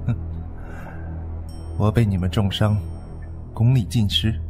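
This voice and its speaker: A man's voice: on the low side, fairly even intonation, takes it slowly, music in the background. A man playing a proud, cold, wounded swordsman in a Chinese martial-arts radio drama, curt and disdainful.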